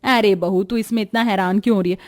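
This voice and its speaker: A woman's voice: medium pitch, fairly even intonation, fast talker, darkish tone, close to an everyday voice. A woman voicing a bossy, food-obsessed middle-aged mother-in-law in a Hindi cartoon story, commanding and insistent.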